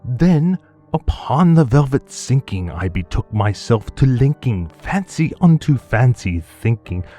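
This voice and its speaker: Theatrical man, slow dramatic reading. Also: fairly low pitch. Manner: theatrical, swings from hushed murmurs to anguished cries. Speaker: voice actor. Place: home studio.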